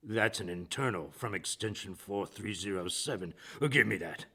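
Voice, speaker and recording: An actor playing a pompous, lecherous middle-aged lawyer boss in a comedic radio drama, with a smarmy, fussy manner and a gravelly, husky voice. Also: male voice, on the low side, normal speed, bright, forceful tone, exaggerated voice. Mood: indignant, angry. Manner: smarmy, fussy, oily chuckling talk.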